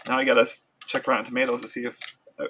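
A man's voice: medium pitch, little pitch movement, very fast, background noise. A man co-hosting a casual pop-culture podcast, informal and opinionated, with a joking streak. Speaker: podcast co-host.

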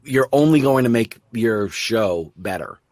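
A man's voice: mid-range voice, expressive, unhurried, darkish tone, no room sound. A man hosting an interview in casual conversation, chatty and rambling, with a self-deprecating streak. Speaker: interview host and audio drama producer.

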